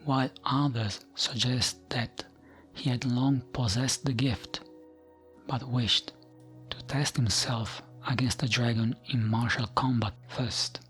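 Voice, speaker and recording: A man tells fantasy legends in a soft, soothing ASMR style. His delivery is very unhurried and hushed, often dropping into a whisper. Music plays behind his mid-pitched voice. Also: steady tone. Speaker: ASMR gaming narrator. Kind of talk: ASMR storytelling, scripted narration of fantasy lore.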